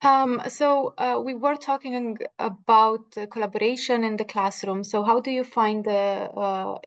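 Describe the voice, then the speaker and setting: A woman moderating a webinar informally, with hesitant, halting phrasing. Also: fairly high pitch, fairly even intonation. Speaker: panel moderator. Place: online webinar call. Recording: dull, muffled online call audio.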